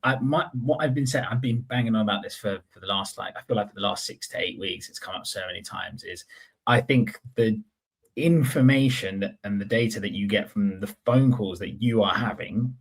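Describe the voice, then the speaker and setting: A man's voice: lowish voice, moderate pace, slightly rough. A man hosting a podcast in casual chat, giving his opinions informally and circling back to rephrase them. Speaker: podcast host.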